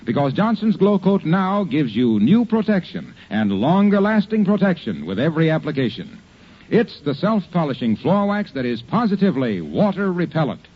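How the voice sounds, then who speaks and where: A man's voice: a little high, very animated, normal speed. An American man, a polished, salesmanlike announcer reading advertising on mid-century radio. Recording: muffled vintage radio recording.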